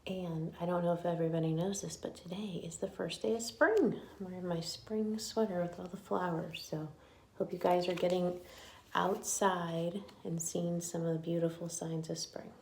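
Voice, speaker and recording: A woman reading a picture book aloud to children like a teacher, with animated storytelling and chatty asides. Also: on the low side, moderate pace, a little hiss or hum. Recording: echoey room.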